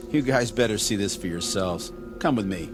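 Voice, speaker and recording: A man's voice: fairly low pitch, expressive, moderate pace, over music, natural voice. An actor playing a brash, jittery space crewman in an audio drama, slangy and wisecracking. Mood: wry.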